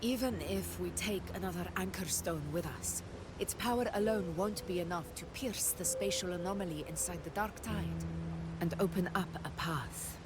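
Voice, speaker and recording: An actress playing a dignified, gracious leader in a game cutscene, speaking formally and with measure. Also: female voice, on the low side, moderate pace, heavy background noise, close to an everyday voice. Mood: questioning, grave. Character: dignified, gracious female leader of a threatened nation. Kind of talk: voiced game cutscene dialogue. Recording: loud music behind voice.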